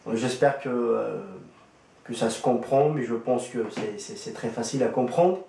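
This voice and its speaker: A man, a Kabyle speaker, talks through his testimony in a halting, casual way, pausing often on fillers. His voice sits at an ordinary middle pitch. It sounds roomy and echoey, picked up from some distance. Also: gravelly, mellow. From Algeria. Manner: hesitant, many fillers and restarts. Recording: roomy echo, distant from the mic.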